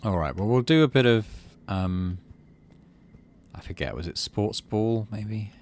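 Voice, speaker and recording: Low-voiced man musing through a game. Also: lively intonation, measured pace, a little hiss or hum. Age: middle-aged. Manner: musing, thinking aloud. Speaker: gaming video host. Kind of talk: casual let's play commentary and story narration.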